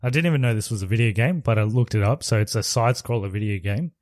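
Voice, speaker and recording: A man's voice: fairly low pitch, fast talker, a little mellow, no echo, studio quality. A man co-hosting a podcast, talking about movies in a casual, informal way and putting questions to his co-host. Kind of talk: casual movie review chat.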